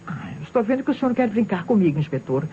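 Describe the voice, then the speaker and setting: A woman's voice: medium pitch, very animated, very fast, dark tone, close to an everyday voice. An actress playing an insistent, defensive married society woman with a Brazilian accent in an old radio crime drama. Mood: indignant, pleading. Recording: dull old radio recording, faint noise.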